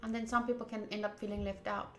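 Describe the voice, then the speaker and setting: A woman streamer doing a game playthrough, reading the text aloud and commenting in an expressive, emphatic way. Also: mid-range voice, fast. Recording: roomy echo, game music behind. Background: soft game music.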